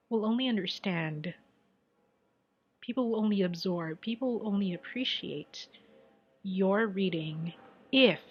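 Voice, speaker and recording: A Filipino woman, her first language Tagalog, talks casually about her work as a tarot reader. She keeps a fairly low voice, repeats her phrases for weight and often sinks to a near whisper. The recording is a little dull and lo-fi. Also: slightly rough. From Philippines. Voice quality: chatty, repeats herself for emphasis, often drops to a near whisper. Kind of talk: casual advice monologue.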